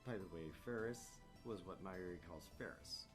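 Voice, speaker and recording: A man's voice: low voice, moderate pace, over music. A man hosting a gaming video, reading a visual novel aloud and adding casual, joking commentary.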